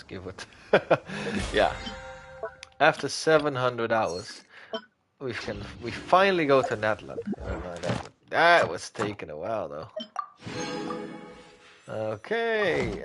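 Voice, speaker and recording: A man's voice: fairly low pitch, very animated, slow pace. A man streaming a video game with casual, offhand commentary, muttering to himself as he plays. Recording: game music behind the voice.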